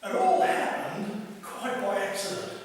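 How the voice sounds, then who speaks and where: A man's voice: sits high, very animated, unhurried. Man storytelling in an echoey hall. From Australia. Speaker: club speaker telling a tall tale. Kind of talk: humorous storytelling speech to a live audience. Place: large echoey room at a club meeting. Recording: very echoey hall from far off, faint noise.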